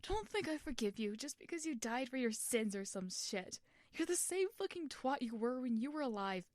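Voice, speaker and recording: A woman's voice: on the high side, very animated, moderate pace, dry recording, clean studio sound, voice pushed up. A woman voicing a hurt, furious young male ghost in a story, snapping accusations and pleading as one betrayed.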